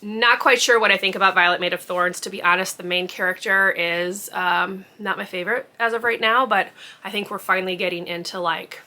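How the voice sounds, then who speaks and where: Bright-voiced chatty woman book vlogger. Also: mid-range voice, steady tone, brisk. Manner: chatty, rambling, frequent ums and likes. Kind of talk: casual chat to camera.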